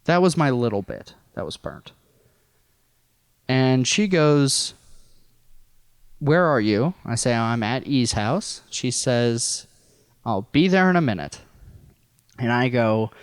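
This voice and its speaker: A man's voice: mid-range voice, expressive, slow pace, long pauses, clear, resonant tone, no room sound, clean studio sound. An American man from rural Missouri telling a personal story casually as a podcast guest, in a wry, self-deprecating, profane way. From rural Missouri, United States.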